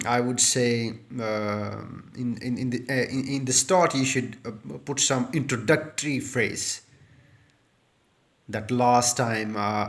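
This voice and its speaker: A man in his thirties, Urdu his first language, talks straight into a phone held close. His delivery is earnest and repetitive, with frequent fillers, stumbles and restarts. His voice sits at an ordinary pitch for a man. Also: fairly bright tone. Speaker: IELTS speaking tutor. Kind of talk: unscripted tutorial monologue to camera. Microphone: phone held close, front camera.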